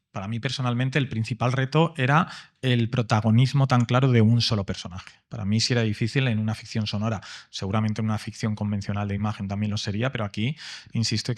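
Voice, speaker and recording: A man from explaining earnestly and conversationally as a panel speaker. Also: fairly low pitch.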